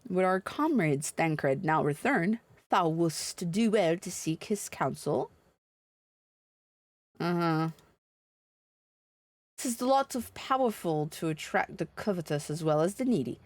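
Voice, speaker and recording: A woman's voice: on the low side, lively intonation, takes it slowly, long pauses, no room sound. A woman livestreaming a video game, chatting casually and reading dialogue aloud in an irreverent, blunt, sweary manner.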